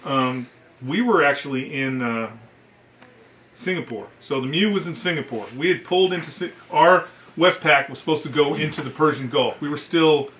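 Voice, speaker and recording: A middle-aged American man, a veteran, casually telling profanity-laced service stories in an animated way, acting out what others said. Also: mid-range voice, slight background noise. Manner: animated, profane, acts out quoted dialogue. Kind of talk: casual anecdotal storytelling.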